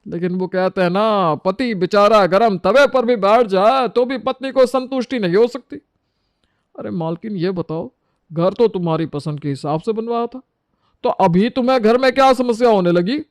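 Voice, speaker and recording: A man's voice: high-pitched, moderate pace, clean tone, dry recording, studio quality, close to an everyday voice. A man playing an exasperated, thrifty middle-aged Indian husband in a story, lecturing and sarcastic. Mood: sarcastic complaint.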